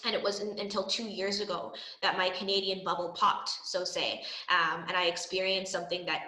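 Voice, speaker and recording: A young woman from the Bahamas speaking thoughtfully on a discussion panel, pausing often with "um". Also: fairly low pitch, little pitch movement, bright, forceful tone, roomy sound, poor audio. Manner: thoughtful, hesitant with frequent ums.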